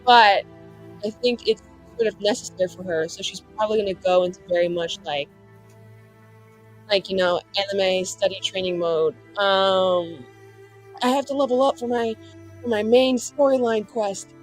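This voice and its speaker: A woman casually improvising her character's story as a tabletop game player, in animated, slangy speech peppered with "like". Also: medium pitch, normal speed, soft background music. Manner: animated slangy chat full of "like".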